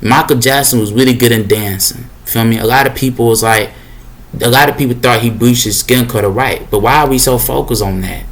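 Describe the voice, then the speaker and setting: A young man with a deep voice talks straight to his listeners in a loose, preachy monologue. He circles back on his own phrases and leans on slang fillers as he goes. His pitch sits in an ordinary middle range for a man. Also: fairly quick. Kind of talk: rambling motivational monologue.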